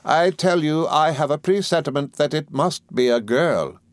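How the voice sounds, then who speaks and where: A man's voice: fairly high pitch, lively intonation, moderate pace, no echo, voice pushed up, close to an everyday voice. A man voicing a domineering, brusque older English aunt in an audiobook, with emphatic, commanding delivery. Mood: insistent. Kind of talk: audiobook character voice.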